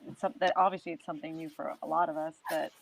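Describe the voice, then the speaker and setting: Lowish-voiced woman, informal co-host. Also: fairly even intonation, racing, gravelly. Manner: informal, frequent "like" and reactive interjections. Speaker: show co-host. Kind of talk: casual chat interview.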